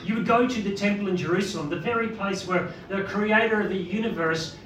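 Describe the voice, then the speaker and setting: A man's voice: high voice, fairly quick, a bit bright. A middle-aged man from Northern Ireland with a Northern Irish accent, preaching a sermon and asking the congregation rhetorical questions. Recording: roomy, distant mic with background noise.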